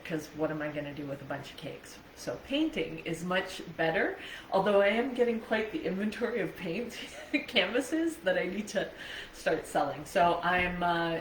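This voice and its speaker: Low-voiced Canadian woman, echoey room. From Ontario, Canada. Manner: chatty, laughs readily at herself, digressive. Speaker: host, trauma coach livestreamer. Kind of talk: casual rambling livestream chat. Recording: echoey room with faint background noise.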